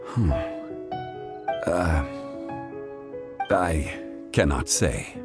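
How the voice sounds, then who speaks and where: A low-voiced, ancient god in human guise speaks with solemn, formal composure. The video game delivery is measured and wistful. Music swells prominently behind the words. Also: male voice, animated, full character voice. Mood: calm, guarded. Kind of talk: dramatic video game dialogue.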